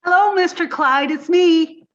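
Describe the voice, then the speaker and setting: A woman's voice: extremely high pitch, measured pace, a bit bright, highs cut off, soft background music. A woman playing a sweet, scatterbrained young secretary in a 1950s-style radio comedy, with a breathless, dizzy, sing-song delivery. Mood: cheerful, dizzy.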